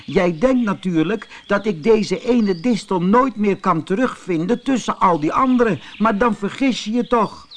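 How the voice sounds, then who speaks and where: A man narrating a children's fairy tale as a scripted audiobook, with expressive, dramatic delivery. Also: mid-range voice. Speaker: audiobook storyteller.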